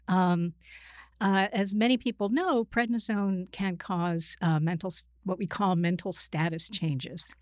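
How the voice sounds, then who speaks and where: A middle-aged woman from New York speaks as an expert guest in a conversational interview. Her voice sits at an average pitch, and she explains carefully while hesitating and correcting herself. The recording is dry and free of room sound. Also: no room sound. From Queens, New York, United States. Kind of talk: conversational personal account.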